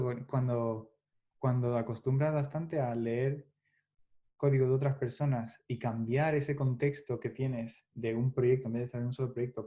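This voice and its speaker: Mellow-voiced man, thoughtful. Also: mid-range voice. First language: Spanish. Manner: reflective, restarts phrases often, laughs lightly. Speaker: guest developer. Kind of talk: casual podcast interview. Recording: dull lo-fi podcast audio.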